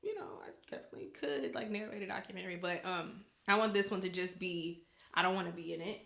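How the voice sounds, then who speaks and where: Woman, podcast co-host, bright forceful voice, echoey room, casual informal chat. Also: fairly low pitch, fast, creaky. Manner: colloquial, informal. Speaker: news anchor.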